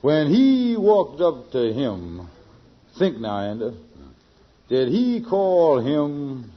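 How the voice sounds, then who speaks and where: Flustered, high-voiced radio sitcom schemer. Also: male voice, very animated, drawn-out words, exaggerated voice. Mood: bewildered, insistent. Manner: stammering, repetitive, flustered, folksy ungrammatical speech. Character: excitable, bumbling male schemer in a radio sitcom. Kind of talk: old-time radio comedy acting. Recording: dull, faintly noisy vintage radio recording.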